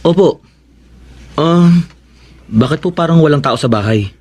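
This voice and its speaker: An actor playing a grieving, guilt-ridden grown son in a Filipino radio drama, earnest and emotional, with a Filipino accent. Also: male voice, on the high side, lively intonation, measured pace, darkish tone, husky, full character voice. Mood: puzzled. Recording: noisy old radio drama recording.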